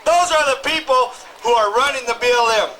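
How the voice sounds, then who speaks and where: A man's voice: very high-pitched, fairly quick, bright, forceful tone. A man telling a folksy joke to a rally crowd. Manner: folksy storytelling.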